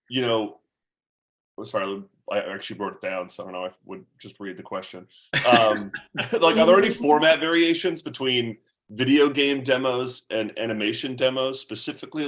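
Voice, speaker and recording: A man's voice: mid-range voice, a bit bright. A man in the audience asking a question in a friendly, informal and slightly hesitant way. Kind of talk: casual chat.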